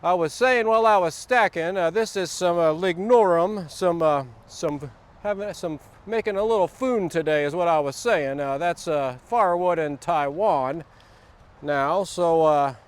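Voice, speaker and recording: A man's voice: high-pitched, unhurried, clean tone. A man with a Southern US accent and a sore throat, rambling through a casual outdoor vlog with many uhs and restarts. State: sore throat, voice not back. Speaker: vlogger. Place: outdoors, windy wood lot.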